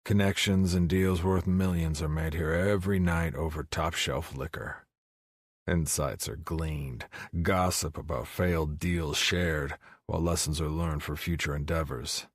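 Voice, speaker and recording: A man with a husky voice reading first-person romance audiobook narration. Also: a bass voice, steady tone, unhurried, no echo, studio quality.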